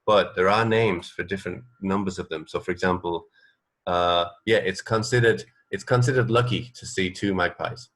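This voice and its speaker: Male radio co-host, deep register, informal banter style, halting delivery that circles back on phrases. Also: steady tone, fairly bright tone. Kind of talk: casual chat, trading fun facts.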